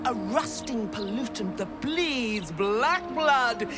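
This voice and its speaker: A fervent, high-voiced sea priestess. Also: female voice, animated, slow pace. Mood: grieving, indignant. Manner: incantatory, grand, reverent. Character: solemn, fervent priestess of a cruel sea goddess. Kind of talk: ceremonial, scripted game acting. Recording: loud music behind the voice.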